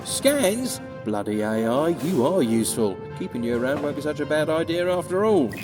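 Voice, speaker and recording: An actor playing a grumbling, sarcastic space delivery pilot in a comedy audio drama. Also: male voice, a little high, very animated, moderate pace, over music. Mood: grudging, resigned. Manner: grumbling, sardonic, theatrical sighing.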